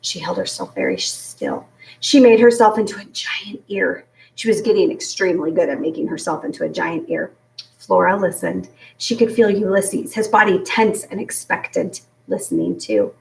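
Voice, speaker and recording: A woman's voice: on the low side, huge pitch swings, moderate pace, vocal fry. Echoey woman reading a children's story aloud. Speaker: story reader. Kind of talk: expressive children's book read-aloud. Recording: roomy echo, distant from mic.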